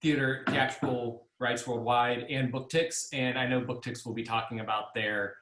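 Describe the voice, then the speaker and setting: A man's voice: medium pitch, little pitch movement, moderate pace, a bit bright. Man moderating from an echoey room. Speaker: session moderator. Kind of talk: casual video-call hosting. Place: home room, indoors. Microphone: computer microphone at desk distance on video call. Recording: roomy, echoey video-call sound.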